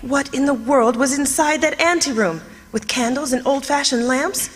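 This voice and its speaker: A woman's voice: sits high, moderate pace, lightly acted. An actress playing an anxious, curious lady companion in old-time radio mystery style, with a dramatic, ladylike manner. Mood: uneasy. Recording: long hall-like reverb on the voice.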